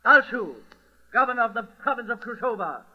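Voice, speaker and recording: An actor playing an imperious, vengeful governor, pompous and commanding in old radio drama style. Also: male voice, very high voice, lively intonation, brisk. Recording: muffled lo-fi old radio recording.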